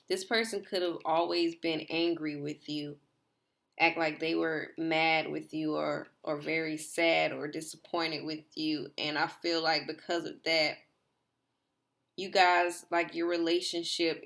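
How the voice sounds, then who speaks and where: A woman giving a rambling, casual tarot reading to her viewers, her voice slightly hoarse. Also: low-pitched, flat delivery, unhurried, a bit bright. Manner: rambling, repeats herself, filler "like". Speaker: tarot reader.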